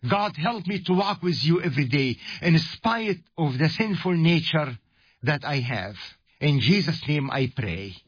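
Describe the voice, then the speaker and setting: A man's voice: a little high, expressive, measured pace, slightly rough, no room sound, muffled recording. A man from Egypt giving an earnest radio gospel message, speaking straight to the listener. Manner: earnest, direct address to the listener. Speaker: evangelist and ministry host.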